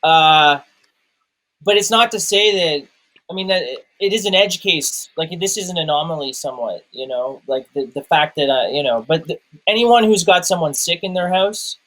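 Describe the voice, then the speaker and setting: Higher-voiced man, halting and conversational. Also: lively intonation, fairly bright tone, rough recording. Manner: halting, repeats words as he searches for phrasing. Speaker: podcast guest reviewer, documentary maker. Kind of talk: casual personal chat.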